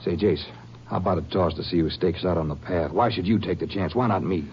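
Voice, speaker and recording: Man playing an easygoing lawman, low voice. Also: a little fast, close to an everyday voice. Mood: friendly, concerned. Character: loyal, amiable lawman, the ranger's family-man partner. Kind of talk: 1950s radio drama acting. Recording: noisy, dull old radio broadcast.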